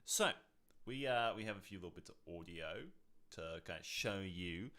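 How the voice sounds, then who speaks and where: Low-voiced young man chatting on a podcast. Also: animated, normal speed, sharp tone. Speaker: podcast co-host. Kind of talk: casual live-streamed podcast chat.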